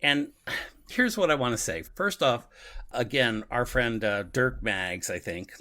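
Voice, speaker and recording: A man's voice: medium pitch, lively intonation, unhurried, sharp tone. A man co-hosting a podcast in casual, reflective conversation, often repeating himself for emphasis. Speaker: podcast co-host. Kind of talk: casual discussion of an audio drama.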